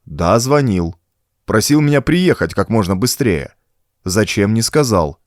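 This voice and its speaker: A man's voice: on the low side, animated, measured pace, dry recording, clean studio sound. A man voicing a tough, wary adventure hero in an audiobook, speaking bluntly and challengingly. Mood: wary questioning.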